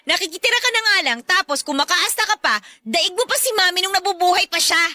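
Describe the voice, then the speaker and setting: A young Filipino stepdaughter in a radio drama, long-suffering but defiant. Her voice is high and bright, with exasperated, pointed delivery and a very dry, close sound. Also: quick pace, no room sound. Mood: indignant, defiant. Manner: exasperated, pointed, mock-polite.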